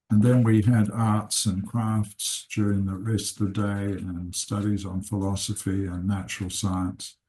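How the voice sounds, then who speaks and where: A man in his seventies, born in England and raised in New Zealand, calmly introducing himself and his life story, pausing often. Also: on the low side, low-quality recording. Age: 70s. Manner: slow, unhurried, with frequent "uh" pauses. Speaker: seminar teacher and consultant. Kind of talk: unscripted personal introduction.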